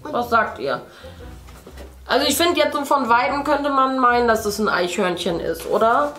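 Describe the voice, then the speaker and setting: A woman's voice: a little high, moderate pace, a bit bright, faint music behind. A middle-aged woman, chatting casually as she paints indoors. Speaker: host, mother. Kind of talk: casual chat while painting. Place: indoor room at a craft table.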